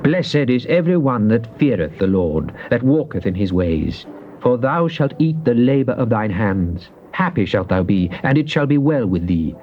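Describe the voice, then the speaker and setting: A man recites scripture as drama, in a solemn, declamatory way. His voice sits at a middle pitch and he leans slowly on key words. The recording is a muffled old cassette with slight background noise. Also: sing-song. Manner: solemn, declamatory, with drawn-out emphasis. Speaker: scripture reader. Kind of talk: dramatized recitation of Bible psalms.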